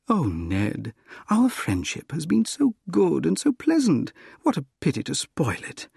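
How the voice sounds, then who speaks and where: A man voicing a proud, composed young woman in a storybook, gently reproving as she turns down a suitor. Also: high voice, vocal fry, slightly rough, no room sound, studio quality, voice pushed up, full character voice.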